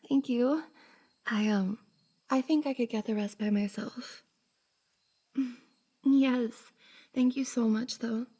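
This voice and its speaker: A woman playing a shy, bubbly young cosplayer and affectionate best friend in an intimate roleplay ASMR, soft, hesitant and giggly. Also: a little high, slow, pauses often. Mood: embarrassed, relieved. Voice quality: soft, breathy, slightly husky. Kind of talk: F4M roleplay ASMR, intimate one-sided conversation.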